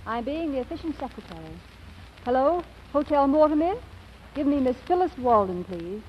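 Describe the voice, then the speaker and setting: An actress playing a brisk, sharp-witted wife and secretary in an old radio comedy, with short pointed retorts. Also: female voice, high voice, big pitch swings. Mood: brisk, cool. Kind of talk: 1940s radio comedy acting. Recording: dull, lo-fi old radio recording.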